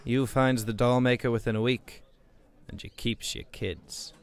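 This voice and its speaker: A slow-talking villain of radio drama, acted by a man. He is a greedy backstreet weapons dealer, sly and theatrical, who drags out his threats and sales talk alike. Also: mid-range voice, level tone, clean tone, natural voice. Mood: menacing. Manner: sly, theatrical, mangles plurals.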